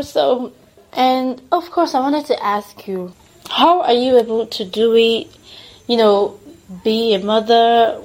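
Nigerian woman podcast host, upbeat and laugh-prone, higher voice, casual interviewer. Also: darkish tone, rough recording. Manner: enthusiastic, laughs often, many exclamations.

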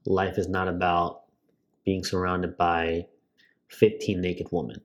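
A young man co-hosting a podcast, chatting casually, rambling and excitable with many restarts. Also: low-pitched, takes it slowly. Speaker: podcast co-host. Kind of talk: casual chat.

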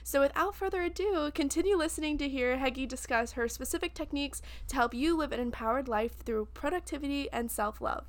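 Bubbly high-voiced young woman host. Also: fairly quick, few pauses, a little hiss or hum. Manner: bubbly, excited. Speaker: podcast co-host. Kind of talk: scripted podcast intro.

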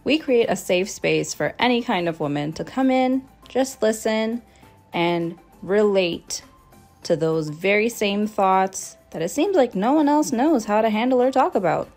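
A woman in her twenties from Canada, hosting her own podcast and talking about her life in a friendly, earnest way, with fillers and the odd soft laugh. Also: mid-range voice, expressive, measured pace, soft background music. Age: 20s. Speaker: podcast host. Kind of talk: casual solo podcast talk.

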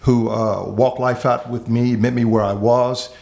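A man who is a pastor from Miami, earnestly telling his life story in conversation, pausing often on fillers and repeated words. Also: mid-range voice, level tone, gravelly, darkish tone. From Miami, Florida. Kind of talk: reflective personal storytelling in conversation.

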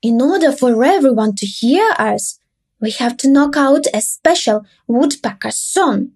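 A woman's voice: sits high, lively intonation, a little slow, a little mellow, slightly rough, full character voice. A woman voicing a worried, earnest father woodpecker in a children's story.

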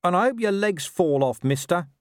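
A man's voice: sits high, lively intonation, moderate pace, dry recording, studio quality, pitched above the performer's own voice. A man voicing a scruffy, streetwise talking dog in an audiobook, slangy, cynical, indignant and boastful. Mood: sour. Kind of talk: audiobook character voice.

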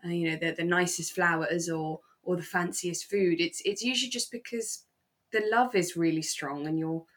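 A woman co-hosting a podcast, chatting casually in a reflective, gently hedging and agreeable way. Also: on the low side, fairly even intonation, moderate pace. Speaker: podcast co-host. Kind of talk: relaxed casual chat about a radio drama.

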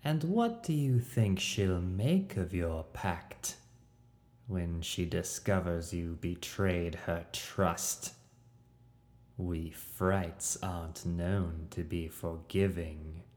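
A man reading a scheming male fright who coaxes and condescends in a calm, measured way. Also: deep voice. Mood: smug. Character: scheming male fright, silken manipulative villain. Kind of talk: audiobook character voice.

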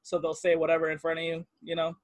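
A man in his thirties telling personal stories in an interview, halting with fillers and restarts and laughing easily. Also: on the high side, completely flat delivery, no echo, studio quality. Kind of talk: casual storytelling interview.

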